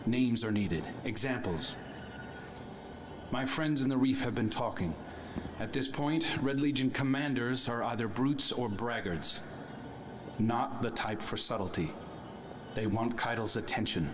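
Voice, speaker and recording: An actor playing a wary, wry hunter scout in a video game, with an understated, dry delivery. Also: male voice, medium pitch, unhurried, background noise, close to an everyday voice. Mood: wry, focused. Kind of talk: video game cutscene acting.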